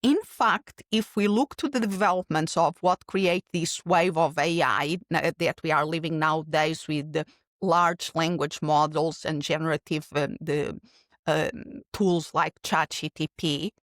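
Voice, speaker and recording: A woman's voice: low voice, fairly even intonation, unhurried, no room sound, clean studio sound. A middle-aged woman from Portugal with a Portuguese accent, answering interview questions as a keynote speaker in a reflective, explanatory way. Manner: earnest, reflective, speaks in long explanatory runs with restarts.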